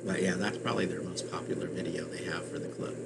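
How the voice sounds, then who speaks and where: A man's voice: sits low, steady tone, quick pace, creaky, very noisy. A man chatting casually, a member and presenter in an amateur radio club. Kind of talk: casual chat. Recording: music playing over the voice. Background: loud guitar music.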